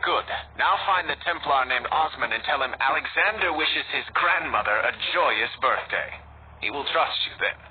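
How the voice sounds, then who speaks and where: A man playing a shrewd resistance leader in a video game, giving briefings in a deliberate, conspiratorial manner. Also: a little high, animated, natural voice. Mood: instructing. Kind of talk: video game cutscene acting. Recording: tinny, phone-like game audio with hiss.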